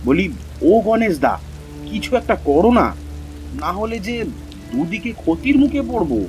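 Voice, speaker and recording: A man's voice: high-pitched, big pitch swings, measured pace, a little mellow, faint music behind. A man voicing a worried village fisherman in a cartoon, pleading in urgent rustic speech. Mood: distressed. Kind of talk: cartoon voice acting.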